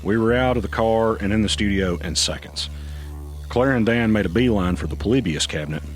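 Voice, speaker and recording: An actor playing a man who narrates a tense mystery in the first person, deliberate and confiding, for an audio drama. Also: lowish voice, natural voice. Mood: urgent. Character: weary male narrator recounting a tense mystery. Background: music underneath.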